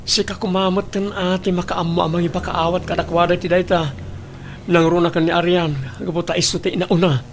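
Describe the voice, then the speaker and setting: Ilocano guy actor as defensive shifty husband, kinda high voice, drama acting, slight noise. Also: level tone, brisk. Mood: defensive, indignant. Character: evasive, defensive husband and father hiding an affair.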